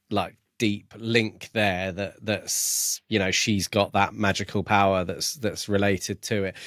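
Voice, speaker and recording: A man co-hosting a casual book discussion podcast, thinking aloud in a tentative, halting way. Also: fairly low pitch, dry recording, clean studio sound. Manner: tentative, musing, halting delivery. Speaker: podcast co-host.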